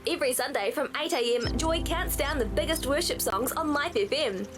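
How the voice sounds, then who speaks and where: A woman's voice: sits high, a little fast, over music. A woman reading a scripted radio station promo.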